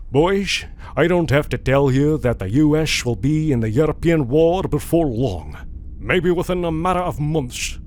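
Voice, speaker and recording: A man voicing an older, determined American tycoon giving deliberate orders in a motion comic adventure. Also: fairly high pitch, slight background noise. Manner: deliberate, authoritative speeches. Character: old American industrial tycoon, gruff and determined.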